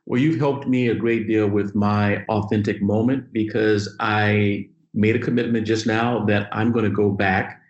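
The recording is dull and noticeably roomy. A middle-aged man with a fairly low voice talks conversationally as a podcast host. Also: steady tone, unhurried, hardly any pauses. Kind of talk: conversational interviewing.

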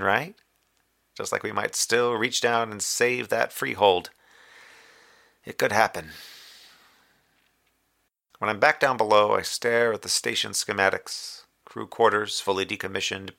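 Lonely moonbase radio officer, very low pitch, creaky edge, brooding, halting, guilt-ridden, audio drama monologue. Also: male voice, pushed and bright, heavily acted. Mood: pleading, wry, then bleak. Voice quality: low, gravelly. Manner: halting, brooding, drifting into recited poetry.

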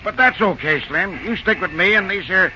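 High-voiced folksy railroad hobo. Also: male voice, lively intonation, normal speed. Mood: puzzled. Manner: folksy, slangy, ungrammatical drawling talk. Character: folksy, plain-spoken wandering railroad fireman, a boomer hobo. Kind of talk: old-time radio drama acting. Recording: muffled, noisy old radio recording.

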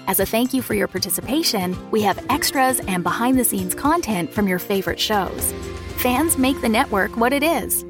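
A female announcer with a slightly high voice delivers a scripted promotional message. Faint music plays behind her. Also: animated, moderate pace. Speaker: podcast network announcer.

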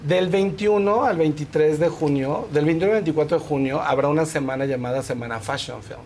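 A man with a Mexican accent and a slightly high voice explains things in casual interview style. He is chatty and often tags his sentences to seek agreement. Some background noise sits behind him. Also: a little fast, darkish tone. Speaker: guest, fashion film festival organizer.

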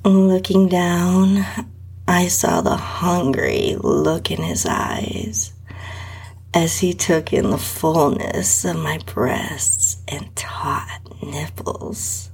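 Very slow, breathy woman narrator. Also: fairly low pitch, big pitch swings, a little mellow. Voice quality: breathy, often dropping to a whisper. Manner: sultry, lingering. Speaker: podcast host reading own story. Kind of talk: slow, intimate erotica narration in the first person.

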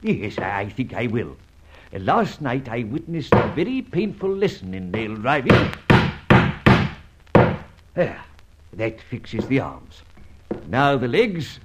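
An actor playing a debonair, mocking gentleman adventurer, suave and theatrically polite, in an old-time radio serial. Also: medium pitch, very animated, slow pace, pauses often, very noisy. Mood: triumphant, taunting. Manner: suave, teasing, theatrically polite, hesitant drawl. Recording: muffled vintage radio serial recording.

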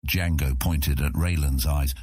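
Deep, husky male audiobook narrator. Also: fairly even intonation, measured pace, a little mellow, dry recording, clean studio sound. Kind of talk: scripted fantasy narration.

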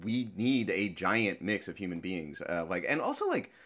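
Effusive, low-pitched man. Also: big pitch swings, moderate pace, a bit bright. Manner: effusive, rambling, repeats words while searching for phrasing. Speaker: podcast guest, audio drama creator. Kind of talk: casual, enthusiastic interview chat.

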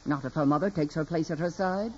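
A commanding, blunt aunt, speaks firmly in a husky, mellow voice. She is brisk and protective. It is a 1940s radio play with muffled sound. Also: deep voice. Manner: firm, brisk, authoritative. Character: commanding, blunt, strong-willed aunt, protective of her niece. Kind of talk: 1940s radio drama acting. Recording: muffled old radio recording, music behind.

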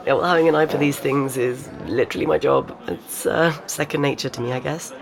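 A woman's voice: a bass voice, gravelly, music in the background. An actress playing an analytical, self-assured woman expert in informal, naturalistic audio drama dialogue. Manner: informal, analytical, halting as she reasons.

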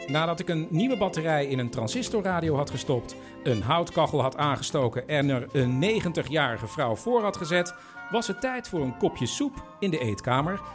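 Grown son, highly animated intonation, halting and disbelieving, live comic radio play, some music behind. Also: male voice. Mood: wry narrating. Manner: baffled, halting, echoing words in disbelief. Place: theatre stage before a live audience.

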